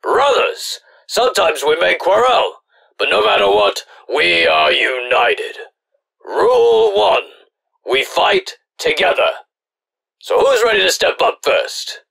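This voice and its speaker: An actor playing a gruff, commanding clone trooper drill officer in an animated sci-fi war drama, stern and authoritative, with a gravelly voice. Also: male voice, high voice, slow, heavily acted. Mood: rallying, commanding. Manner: stern, authoritative, barking orders.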